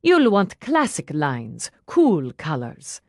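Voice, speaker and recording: Lady doing a big sweet gentle guy, adoring and guileless, audiobook character. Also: deep voice, huge pitch swings, takes it slowly, no room sound, clean studio sound, exaggerated voice. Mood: sweet, earnest. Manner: gentle, earnest, loving.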